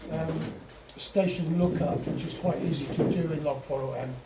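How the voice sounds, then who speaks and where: Man, informal tutorial presenter, halting restarts, roomy echo, distant mic with faint noise. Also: fairly high pitch. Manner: halting, repeats and restarts phrases. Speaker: presenter at an amateur radio club. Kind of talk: informal software tutorial over slides.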